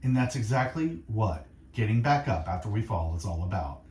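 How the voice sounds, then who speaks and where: A man hosting faith-based radio, speaking in an earnest, reflective monologue of encouragement with short, deliberate phrases. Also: on the low side, lively intonation, a little mellow, echoey room. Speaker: radio host. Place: radio studio.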